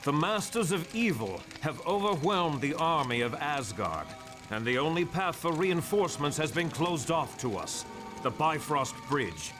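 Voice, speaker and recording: A man's voice: a little high, big pitch swings, unhurried, music in the background. A man playing a noble, grave warrior god in a video game, speaking in formal, archaic heroic style. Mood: grave warning.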